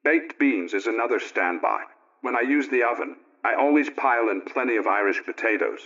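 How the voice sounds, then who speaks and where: A man's voice: medium pitch, lively intonation, fairly quick, fairly bright tone. A man playing a homely, contented male housekeeper, reading out a folksy, orderly letter in 1920s radio style. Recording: dull, muffled old radio recording.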